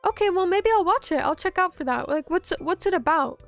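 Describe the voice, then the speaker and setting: A woman with a high, light voice chats with her stream audience, drifting through her thoughts with plenty of "like" and "um." Quiet music plays faintly behind her. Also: expressive, fairly quick. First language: English. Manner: rambling, many fillers like "like" and "um". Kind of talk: casual chat reading viewer messages.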